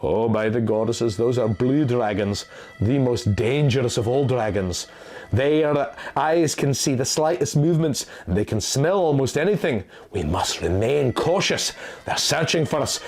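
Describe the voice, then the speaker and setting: A man's voice: medium pitch, a little slow, voice pushed down, lightly acted. A man voicing a wary, commanding griffin guide in a fantasy story, urgent and cautioning. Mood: hushed caution.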